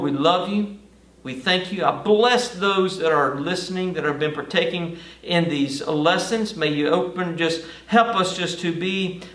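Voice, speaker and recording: A middle-aged man, a pastor giving an earnest Bible study sermon and prayer with deliberate pauses. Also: fairly high pitch, fairly bright tone, recorded from a distance. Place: indoor studio or stage with lit backdrop. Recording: roomy, echoing, off-mic sound.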